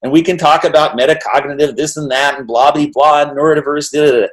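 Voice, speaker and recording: A middle-aged man hosting an interview over a video call, talking in an energetic, rambling way and often tagging on "right?". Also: on the high side, fast talker, low-quality recording. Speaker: interview host. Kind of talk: animated casual conversation.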